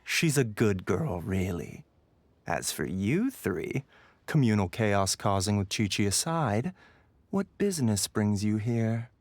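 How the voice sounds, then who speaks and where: A man playing a sly, courteous pharmacy owner in a video game, soft and breathy, unhurried and genteel. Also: on the low side, sing-song, no room sound, full character voice. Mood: smooth, amused.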